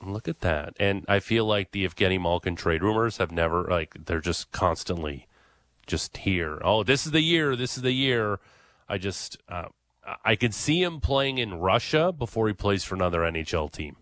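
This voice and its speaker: A man's voice: low voice, animated, normal speed, fairly bright tone, no room sound. A man hosting a sports radio show, talking casually and with opinions, full of fillers and restarts, on a studio mic.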